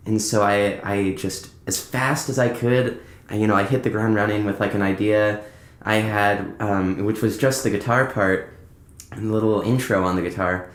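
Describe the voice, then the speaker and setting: A man's voice: fairly low pitch, fairly even intonation, moderate pace. A man talking casually as a musician guest on a podcast, rambling through anecdotes and acting out bits of conversation. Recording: roomy, echoing indoor sound.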